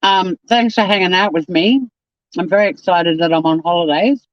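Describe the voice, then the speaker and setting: A man's voice: high voice, normal speed, no room sound, highs cut off. A man in his fifties chatting casually on a live stream, reading out and answering viewer comments. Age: 50s. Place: home.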